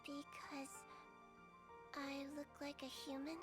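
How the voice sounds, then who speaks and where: A very high, sweet girlish voice for a small nonhuman nurse in a video game. She speaks gently and thoughtfully with quiet resolve, while music plays clearly behind her. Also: completely flat delivery, slow pace. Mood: hesitant, surprised.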